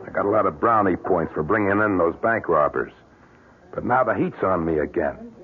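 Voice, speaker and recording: A narrow-band old radio recording carries a hard-nosed male police inspector. His voice is fairly low, and he questions in blunt, slangy, sceptical fashion, pushing hard in classic radio-drama style. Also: expressive, normal speed. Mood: sceptical, pushy.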